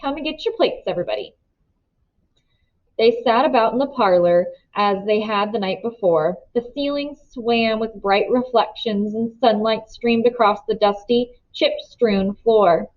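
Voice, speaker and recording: A woman's voice: fairly high pitch, a little slow, few pauses. Woman narrating a storybook, slightly muffled. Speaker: read-aloud narrator. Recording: slightly dull, lo-fi sound.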